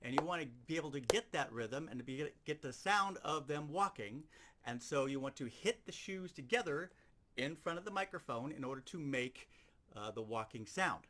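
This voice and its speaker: A man's voice: fairly high pitch, lively intonation, moderate pace, sharp tone. A man giving an instructional demonstration as a sound effects teacher, explaining things step by step and repeating key points.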